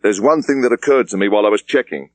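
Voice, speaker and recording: A man's voice: on the low side, fast talker, no room sound, natural voice. A man voicing a young British detective inspector, careful and respectful, in an audiobook. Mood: thoughtful, suggesting.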